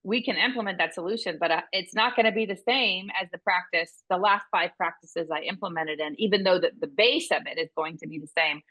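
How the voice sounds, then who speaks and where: A woman who works as a veterinary business consultant, speaking as an interview guest in a loud, intense, passionate way. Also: fairly low pitch, fairly quick, few pauses, poor audio.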